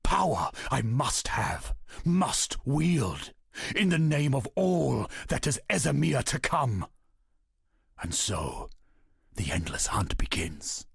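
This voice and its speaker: A gravelly, brooding wolf king. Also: medium pitch, sing-song, dry recording, studio quality, full character voice. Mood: brooding, determined. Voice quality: gravelly, husky. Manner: solemn, slow, incantatory declamation. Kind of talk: dramatic video game monologue.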